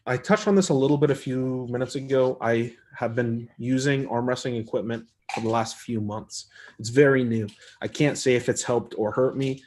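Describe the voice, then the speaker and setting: A man hosting a casual live stream, reading viewer comments and answering them in an easygoing, rambling way with a soft voice. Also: mid-range voice, moderate pace, slight background noise. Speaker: arm wrestler hosting a live chat stream.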